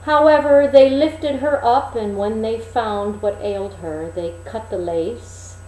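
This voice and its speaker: A woman reading a fairy tale expressively, with deliberate, dramatic phrasing and emphasis. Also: on the high side, mellow, roomy sound, poor audio. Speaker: storyteller, reader.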